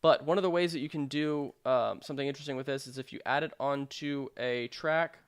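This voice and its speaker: A man narrating a software tutorial in an informal, explanatory way with hesitant phrasing. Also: medium pitch, fairly even intonation, a little fast, clear, resonant tone, dry recording. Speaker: sound design tutorial presenter.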